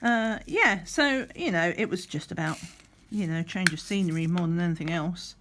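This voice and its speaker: A middle-aged woman, slightly croaky, chatting in a rambling way while doing a craft, sometimes counting under her breath. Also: lowish voice, normal speed, background noise. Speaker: crafting vlogger. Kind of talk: relaxed chatty craft vlog. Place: at a craft table indoors.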